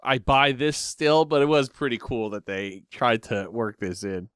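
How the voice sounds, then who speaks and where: Clear-voiced man, wry casual co-host. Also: mid-range voice, animated, a little slow, a bit bright, no echo, clean studio sound. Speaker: podcast co-host. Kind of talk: casual fan discussion.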